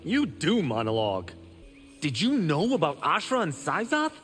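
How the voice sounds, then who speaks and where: Cocky young martial artist, swinging pitch. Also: male voice, huge pitch swings, normal speed, a bit bright. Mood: brash, teasing. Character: cocky young male martial artist fighter, proud and boastful. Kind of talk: video game fight intro dialogue. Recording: reverberant hall, background ambience noise.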